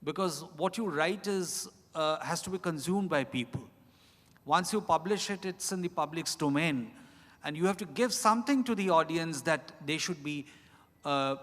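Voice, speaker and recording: A man speaking as an author on a panel, answering off the cuff in a reflective, halting way, phrase by phrase. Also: fairly high pitch, expressive, a bit bright. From India. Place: large indoor event hall at a hotel. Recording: echoey event hall, long reverb.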